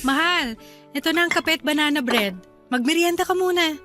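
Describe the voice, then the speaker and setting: A woman's voice: sits high, brisk, fairly bright tone, faint music behind. A woman with a Filipino accent voicing a fond, teasing young wife and new mother in a radio drama.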